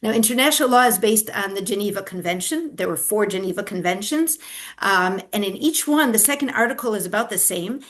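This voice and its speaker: A woman's voice: on the high side, fairly bright tone. An older woman, a grandmother and activist, answering interview questions in an earnest, explanatory way with frequent fillers and restarts. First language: not Hebrew. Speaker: activist guest.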